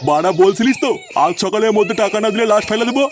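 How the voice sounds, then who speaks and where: Man voicing a high-pitched, threatening cartoon gang boss. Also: racing, music in the background, natural voice. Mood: menacing. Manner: foul-mouthed, menacing. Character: brash, threatening male gang boss kidnapper. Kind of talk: comic cartoon voice acting.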